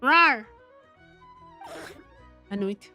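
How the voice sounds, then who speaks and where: A woman giving casual live commentary as she plays a game, thinking aloud in an excitable, exclamatory way. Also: on the high side, very slow, soft background music. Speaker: streamer playing a game.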